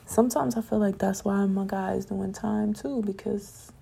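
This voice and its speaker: A young woman talks on her own podcast late at night, her voice gravelly and a little raspy from talking so long. Her tone is mellow and dark, and she rambles casually between topics while urging positivity. A faint hiss of noise sits under the recording. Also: mid-range voice, steady tone, moderate pace. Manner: casual, rambling, upbeat and preachy about positivity. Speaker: podcast host.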